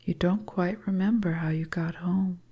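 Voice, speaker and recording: A woman narrating a scripted story in a hushed, deliberate ASMR whisper, as a storyteller. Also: on the low side, fairly even intonation, breathy edge.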